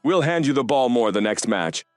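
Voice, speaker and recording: A man as an earnest, animated basketball coach. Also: mid-range voice, normal speed, faint music behind, natural voice. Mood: earnest, persuasive. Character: earnest male international pro basketball coach, encouraging.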